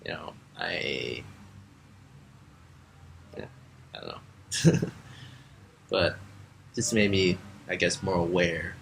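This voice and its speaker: Low gravelly young man, relaxed. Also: drawn-out words, pauses often, slight background noise. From United States. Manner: relaxed, many fillers. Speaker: Peace Corps volunteer. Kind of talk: casual interview answers.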